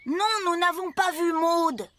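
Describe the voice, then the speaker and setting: Une comédienne qui prête sa voix à un jeune tracteur parlant, serviable et sérieux, au ton enfantin, dans un dessin animé. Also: female voice, very high-pitched, slow pace, fairly bright tone, heavily acted. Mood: worried. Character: earnest, helpful young talking tractor. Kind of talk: children's cartoon dub acting.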